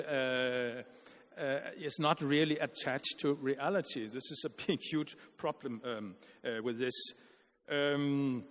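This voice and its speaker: A man giving an earnest, argumentative conference panel speech, halting with frequent restarts and repeated words. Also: a little high, long reverb tail.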